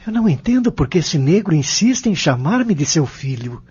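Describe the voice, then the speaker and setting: A man's voice: a little high, very animated, moderate pace, darkish tone, slightly rough, full character voice. A man playing an earnest, reflective, kindly young man in an audio drama. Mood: puzzled, reflective. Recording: dull lo-fi audio.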